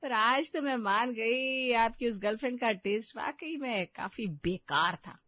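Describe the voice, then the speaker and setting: A woman's voice: on the high side, measured pace, dry recording, muffled recording. A woman voicing a melodramatic, teasing young sister-in-law in a cartoon family story, with a dramatic, reproachful way of talking.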